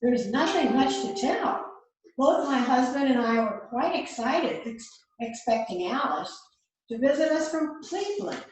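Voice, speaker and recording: A woman's voice: high-pitched, lively intonation, unhurried. A woman reading the part of an anxious married housewife in an amateur mystery play rehearsal. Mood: earnest. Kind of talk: amateur readers theater rehearsal, script reading. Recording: very echoey room, distant mic, faint noise.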